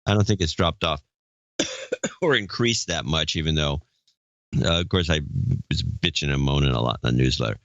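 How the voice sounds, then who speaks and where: A man co-hosting a podcast, talking casually and reading out donor names in a gruff, offhand manner. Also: rumbling low, normal speed, a bit bright, no echo, muffled recording. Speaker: podcast co-host.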